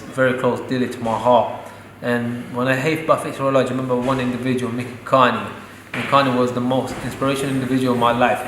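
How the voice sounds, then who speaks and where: A young man, a professional boxer born in Saudi Arabia, speaks earnestly and proudly in a pre-fight interview, with the odd joke. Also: medium pitch. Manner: earnest and proud, joking now and then. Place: boxing gym. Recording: echoey gym with loud ambient noise.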